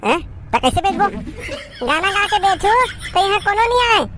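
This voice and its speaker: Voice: very high-pitched, animated, normal speed, background noise. A performer voicing a naive, bumbling young village mango seller in a cartoon comedy, a woman by the sound of it, with a Chhattisgarhi accent. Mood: frustrated. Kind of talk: cartoon comedy skit dialogue.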